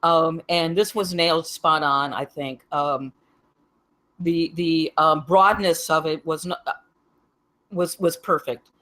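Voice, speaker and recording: Female reviewer, forceful and crisp-toned, halting, emphatic casual talk. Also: low voice, fairly even intonation. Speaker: script reader giving feedback. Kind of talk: casual feedback.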